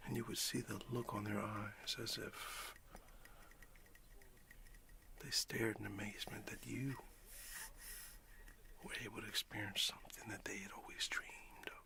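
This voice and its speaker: A man playing a warm, excited father who talks affectionately and in a folksy way to his young son in a breathy whispered ASMR roleplay. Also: on the low side. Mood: wistful, tender. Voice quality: breathy whisper with gravelly creak. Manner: unhurried, folksy, affectionate, with long pauses.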